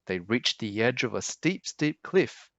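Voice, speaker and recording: A man reading a children's storybook aloud in an expressive, dramatic way. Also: medium pitch, unhurried, no echo, dull audio.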